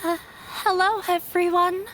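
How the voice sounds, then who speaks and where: A woman voicing a shy, nervous young girl dancer in a video game, hesitant and halting. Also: extremely high pitch, little pitch movement, low-quality recording. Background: loud music.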